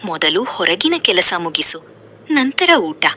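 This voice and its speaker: Woman playing bright, low-voiced domineering stepmother. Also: very animated, measured pace, full character voice. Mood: haughty. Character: domineering stepmother doting on her own son.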